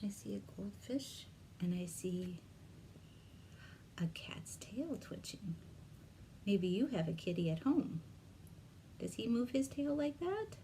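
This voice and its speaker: A woman telling a story to young children as a librarian at storytime, expressive, with chatty and sometimes whispered asides to her listeners. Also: mid-range voice, slow, pauses often. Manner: asks young listeners simple questions. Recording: noticeable background noise.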